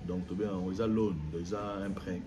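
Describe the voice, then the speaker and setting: A man's voice: low voice, fairly even intonation, moderate pace, over a noisy crowd. A man being interviewed as a sociologist, hesitant and full of fillers, mixing French with Lingala. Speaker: guest, sociologist. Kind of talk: casual interview.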